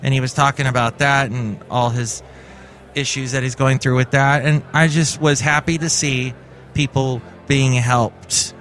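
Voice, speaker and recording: A man's voice: medium pitch, fairly even intonation, measured pace, fairly bright tone. A man from California hosting his own podcast in a casual, rambling monologue full of jokey asides. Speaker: podcast host.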